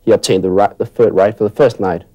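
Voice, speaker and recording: Low, mellow man telling anecdotes. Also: steady tone, quick pace, lo-fi sound.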